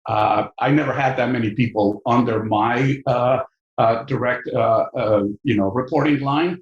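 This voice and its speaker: A man's voice: medium pitch, unhurried, muffled recording. A middle-aged man with a Mexican accent, a professor in a podcast interview, speaking thoughtfully with many fillers and repeated words. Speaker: business school professor, guest. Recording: echoey room.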